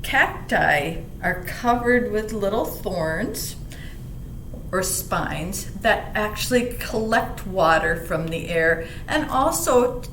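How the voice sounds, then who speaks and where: A woman reading aloud and explaining to children in a gentle, teacherly manner, stressing key words. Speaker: library story reader. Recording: roomy echo, faint rumbling background noise.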